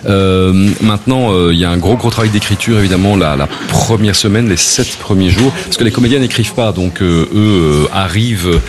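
A man's voice: low voice, brisk, few pauses, crowd noise. A male guest on a radio interview, explaining his work in a chatty way full of fillers. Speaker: TV comedy show producer. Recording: faint background noise.

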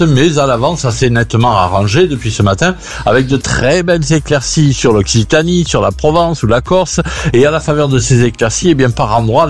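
A man giving the radio weather report in a relaxed, chatty way, with small fillers. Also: mid-range voice. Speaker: weather presenter.

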